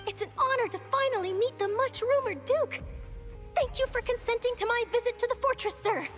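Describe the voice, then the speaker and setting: A woman's voice: very high voice, fairly quick, fairly bright tone, faint music behind. An actress playing a bubbly, inquisitive young woman news reporter in a video game, effusive, playful and polite. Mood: polite, eager.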